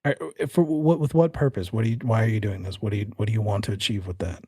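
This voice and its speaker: Mellow, husky man running a game. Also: on the low side, fairly even intonation, fast talker, no room sound, studio quality. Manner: conversational narration mixed with rules calls. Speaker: game master. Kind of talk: tabletop horror game narration.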